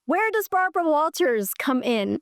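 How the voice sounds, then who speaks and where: A middle-aged woman from Colombia, telling her career story in an animated, enthusiastic way as an interview guest. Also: sits high, moderate pace, dry recording, studio quality.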